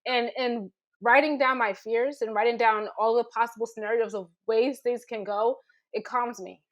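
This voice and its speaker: Higher-pitched, informal woman. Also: steady tone, moderate pace, muffled recording. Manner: informal, tentative, searching for words. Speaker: panelist, fiction writer. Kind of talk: casual panel discussion.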